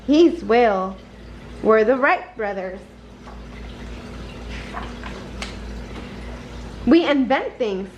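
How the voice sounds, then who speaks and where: A woman's voice: on the high side, lively intonation, slow, dull audio, slight background noise. A woman voicing a friendly, enthusiastic male cat inventor from a storybook, cheerfully explaining. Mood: friendly, proud.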